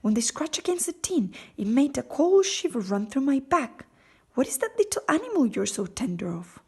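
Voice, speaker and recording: A woman's voice: high-pitched, very animated, moderate pace, darkish tone, voice pushed up. A woman voicing a big cowardly male lion from a storybook, sad, ashamed and plaintive as he confesses. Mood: curious, surprised. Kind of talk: storybook character voice.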